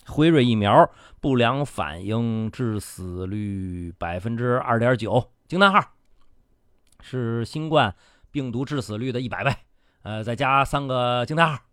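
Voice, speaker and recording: A man's voice: mid-range voice, lively intonation, unhurried, a bit bright, clean tone, no echo, clean studio sound. A man playing a bullying, cynical media boss in a radio drama, domineering and condescending, swearing and badgering with questions. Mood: smug, instructing.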